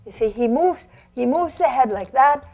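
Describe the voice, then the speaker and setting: A woman's voice: sits high, animated, moderate pace, darkish tone, faint music behind. A woman presenting puppetry in an explanatory storytelling talk, theatrical and emphatic, with rhetorical questions.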